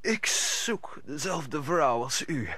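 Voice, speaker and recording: A proud English lord in an old radio play. He speaks slowly and with measured authority, his voice bright and animated in pitch. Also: slightly rough, dry recording, heavily acted. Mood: grave, authoritative.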